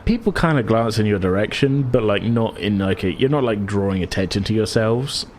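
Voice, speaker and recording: A man's voice: on the low side, a little fast, a little mellow, slight background noise. A man running a tabletop game as game master, narrating casually in a rambling, self-correcting way.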